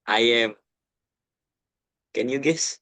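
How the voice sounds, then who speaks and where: A man's voice: mid-range voice, slow pace, a bit bright, no room sound. A twenty-year-old man, a non-native English speaker and university student, interviewing a classmate with hesitant speech full of fillers.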